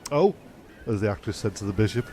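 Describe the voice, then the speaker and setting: A man's voice: fairly low pitch, lively intonation, quick pace, warm tone, slight background noise, lightly acted. A man playing a melodramatic, self-pitying, foul-mouthed castaway comedian in a comedy radio play. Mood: indignant joking. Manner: theatrical, exclaiming.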